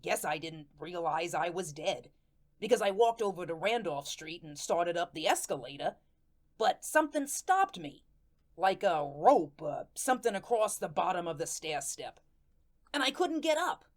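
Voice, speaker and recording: Woman as a chatty, animated, aggrieved ghost. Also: on the low side, moderate pace. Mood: aggrieved, bemused. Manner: colloquial, ungrammatical, conversational storytelling. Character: chatty, aggrieved, streetwise ghost of a murder victim. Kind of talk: first-person radio drama narration with acted dialogue.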